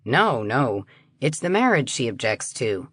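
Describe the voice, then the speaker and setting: A man's voice: medium pitch, very animated, a little slow, fairly bright tone, breathy edge, no room sound, studio quality, full character voice. A woman voicing a stiff, prejudiced middle-aged husband who talks in a stubborn, evasive way.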